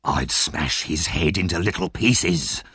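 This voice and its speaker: A huge, violent robber, played by a male narrator in an audiobook. He is low-voiced and aggressive, hurling threats with a short temper and real menace, recorded close and dry. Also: slow, a bit bright, slightly rough, dry recording, heavily acted.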